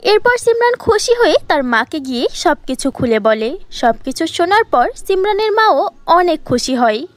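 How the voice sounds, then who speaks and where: A woman's voice: very high voice, very animated, moderate pace, no room sound. A woman reading scripted fairy-tale narration for a cartoon, as a storyteller.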